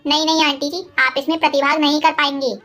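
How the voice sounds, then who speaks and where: A woman playing a high-voiced, polite young neighbourhood woman. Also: little pitch movement, quick pace, sharp tone, faint music behind. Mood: polite, chatty. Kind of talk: Hindi cartoon moral-story voice acting.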